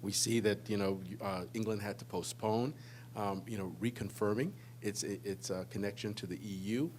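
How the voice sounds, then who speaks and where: A man in the audience, low-pitched, asks a long, halting question full of ums and you-knows. A faint hum sits behind him. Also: darkish tone. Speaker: audience member asking a question.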